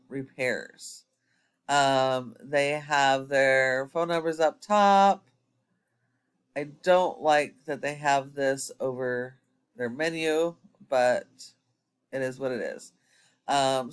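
A man's voice: on the high side, a bit bright, smooth. A man giving a screen-recorded website audit as an SEO consultant, explaining step by step with frequent ums.

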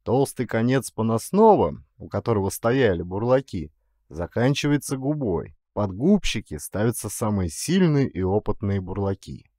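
A man narrating a literary story as an actor would, in an expressive, dramatic storytelling manner. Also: on the low side, moderate pace, dry recording, studio quality.

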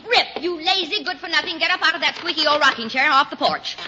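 An actress playing an exasperated, sharp-tongued colonial farm wife who nags, in 1940s radio style. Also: female voice, sits high, fast, lightly acted. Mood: scolding. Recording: muffled old 1940s radio recording, faint noise.

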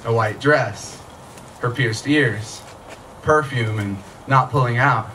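A man's voice: mid-range voice, takes it slowly. A man in his thirties reading short fiction aloud at a live event, in a conversational storytelling way. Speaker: author reading own work. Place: small tent stage at night, outdoors. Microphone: stand microphone, recorded by camera from audience. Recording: distant mic, noisy live outdoor event.

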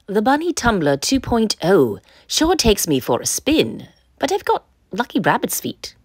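A woman reading a children's picture book aloud in an expressive, sing-song storytelling manner. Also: mid-range voice, no echo, studio quality. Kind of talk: expressive read-aloud narration.

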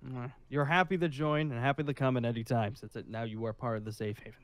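A man's voice: medium pitch, fairly even intonation, clean tone, no echo, close to an everyday voice. Man playing an officious, awkward safe-haven leader. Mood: awkwardly polite. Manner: formal and rule-minded, with hesitant pauses and sighs. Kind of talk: improvised Minecraft zombie roleplay.

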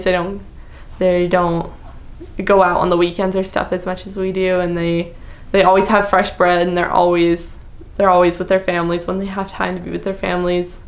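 A young woman from the United States chatting casually to the camera in a small room, relaxed and full of "like" fillers. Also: lowish voice. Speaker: vlogger. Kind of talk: casual talking-head vlog. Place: small indoor room with plain wall.

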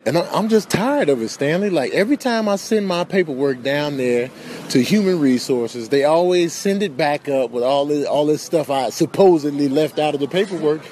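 A man's voice: a little high, lively intonation, normal speed, a little mellow, slight background noise, voice pushed down, close to an everyday voice. A man voicing a weary, aggrieved deer office worker who grumbles repetitively in a comic voice-over.

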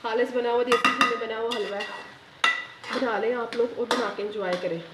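A woman's voice: a little high, steady tone, normal speed, heavy background noise. A woman hosting a home cooking channel, chatting directly to viewers as she demonstrates a recipe step by step at her kitchen stove. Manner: chatty, addresses viewers directly, often adds a pious phrase. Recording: echoey kitchen with loud sizzling and clanking pans.

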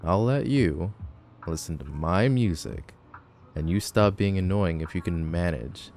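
A man's voice: deep voice, unhurried, a little mellow, faint music behind. A man playing a cocky, grumpy tall teenage delinquent boy in an audio roleplay, sarcastic, teasing and dismissive. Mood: gruff, teasing. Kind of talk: boyfriend ASMR audio roleplay.

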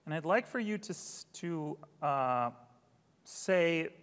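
Upbeat guy doing a keynote demo, slightly high voice, big hall echo. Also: animated, takes it slowly, a bit bright, smooth. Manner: upbeat, theatrical stage delivery. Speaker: product presenter. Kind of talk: live product launch keynote. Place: large dark auditorium stage. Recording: long hall reverb.

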